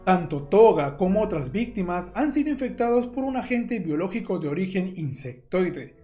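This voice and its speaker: A man's voice: sits high, very animated, moderate pace, warm tone. A man reading scripted, documentary-style horror narration as the narrator. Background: music.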